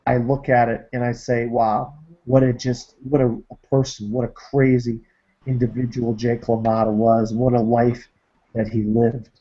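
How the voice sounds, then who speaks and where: A man's voice: medium pitch, little pitch movement, moderate pace, dark tone. A man from Massachusetts hosting a movie podcast panel, talking enthusiastically with many ums and uhs over dull online call audio. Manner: enthusiastic, filler-heavy. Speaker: podcast host. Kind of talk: casual panel show wrap-up.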